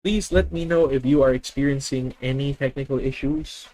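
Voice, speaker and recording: A man's voice: mid-range voice, normal speed, mellow, rough recording. A man with a Filipino accent streaming a card game, methodically thinking aloud and correcting himself as he plans each move. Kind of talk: live deck-test commentary.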